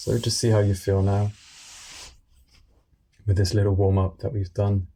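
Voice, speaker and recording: A man guiding a meditation in a gentle, unscripted way, speaking informally and soothingly in short phrases with pauses. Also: lowish voice, level tone, slightly rough. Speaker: meditation guide.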